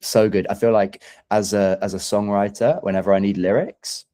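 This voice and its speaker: A man's voice: lowish voice, steady tone, moderate pace, mellow. A man who is a singer-songwriter guest in a casual interview, speaking in a hesitant, thoughtful, self-deprecating way.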